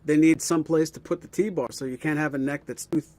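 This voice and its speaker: Relaxed man co-hosting a stream. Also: fairly high pitch, brisk. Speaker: co-host. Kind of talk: casual chat.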